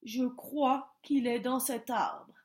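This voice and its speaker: A woman's voice: high voice, lively intonation, unhurried, voice pushed up. A woman doing a sheepish little bear cub. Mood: eager. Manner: eager, slightly sheepish.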